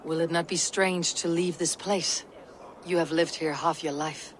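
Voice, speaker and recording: An actress playing a steely young Viking warrior woman in a video game, speaking in measured, formal, old-fashioned phrases. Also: low voice, fairly even intonation, rough recording. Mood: measured, curious. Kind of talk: video game cutscene acting.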